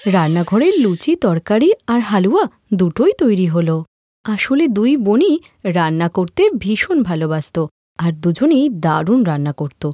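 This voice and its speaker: Animated, husky female storyteller. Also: medium pitch, no room sound. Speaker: narrator. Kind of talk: scripted storytelling narration.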